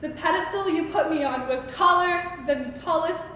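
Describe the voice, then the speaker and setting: A teenage woman reciting slam poetry with dramatic, deliberate phrasing in an echoing hall. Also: very high voice, fairly bright tone. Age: teens. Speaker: student poet. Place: school open mic performance in a reverberant room. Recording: echoey hall with faint noise.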